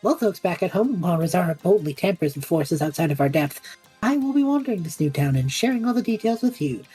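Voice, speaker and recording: Woman as cocky young man adventurer, very animated, mellow slightly husky voice, flippant showman chatter, audio drama. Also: on the low side, quick pace, soft background music. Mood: showman patter. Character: cheeky, cocky young male adventurer and self-styled broadcaster. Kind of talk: fantasy audio drama acting.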